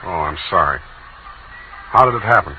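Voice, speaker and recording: An actor playing a blunt, impatient private detective in 1940s radio style, with clipped, tough delivery. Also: male voice, low-pitched, lively intonation, normal speed, lo-fi sound, a little hiss or hum. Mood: gentle, probing. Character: hard-boiled, impatient male private detective.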